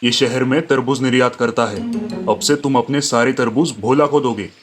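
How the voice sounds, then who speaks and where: A man's voice: mid-range voice, moderate pace, faint music behind, pitched below the performer's own voice, close to an everyday voice. A man voicing an arrogant, domineering village strongman who threatens people in a cartoon story. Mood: threatening. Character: arrogant bullying village strongman, adult male.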